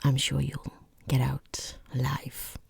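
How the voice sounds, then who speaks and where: Woman playing a whispering, rude boss, low and husky. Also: completely flat delivery, slow, no room sound, full character voice. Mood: bored, impatient. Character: rude, bored, condescending boss interviewing a job applicant. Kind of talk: soft-spoken ASMR roleplay, mostly whispered. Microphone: close microphone.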